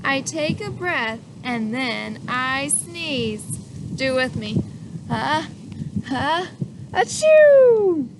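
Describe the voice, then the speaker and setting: A woman reading a children's picture book aloud in an animated way, stopping to ask the listener questions and acting out the sneezes. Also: high-pitched, very slow, noisy background.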